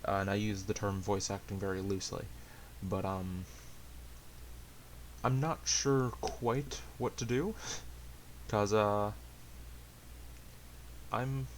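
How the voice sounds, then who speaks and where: A man chatting casually to viewers, hesitant and halting, with a self-deprecating, rambling way of talking. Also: lowish voice, fairly even intonation. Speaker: amateur story reader and channel host. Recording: hissy amateur home recording.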